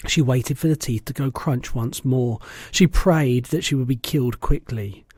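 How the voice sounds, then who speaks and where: A man reading a children's storybook aloud in an expressive narrating style. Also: medium pitch, measured pace, breathy edge, no room sound, clean studio sound. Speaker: storybook reader.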